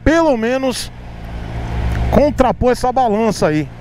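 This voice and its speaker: A man's voice: very high-pitched, very animated, a little slow. A man with a Brazilian Portuguese accent talking casually while riding a motorcycle, chatty and teasing, stretching words for emphasis. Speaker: motovlogger. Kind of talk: riding commentary vlog. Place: outdoors on a motorcycle in city traffic. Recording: helmet mic with road noise. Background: traffic and engine noise.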